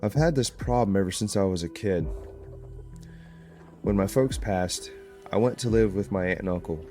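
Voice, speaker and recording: A fairly low male voice from an actor as a claustrophobic, haunted small-town deputy in an audio drama. He talks plainly and grumbles, with a gruff, uneasy temper. Faint music plays underneath. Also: normal speed, dark tone, close to an everyday voice. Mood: grim, confiding.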